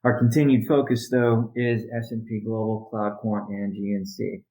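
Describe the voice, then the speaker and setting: A man with a fairly low voice gives a business update in the role of a chief executive. He speaks deliberately, breaking his sentences into short, halting phrases as he works through conference call items. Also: little pitch movement, warm tone, low-quality recording.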